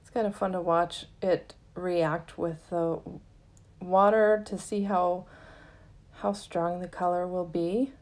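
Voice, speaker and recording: A woman giving a casual, gently explanatory tutorial narration in an unhurried way, as the host of a craft lesson. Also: on the low side, fairly even intonation.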